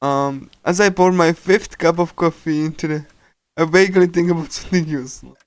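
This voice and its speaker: Man, streamer, fairly high pitch, hesitant delivery, casual translating read-aloud, dry recording. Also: no room sound. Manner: hesitant, halting, with small chuckles. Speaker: streamer reading a visual novel aloud.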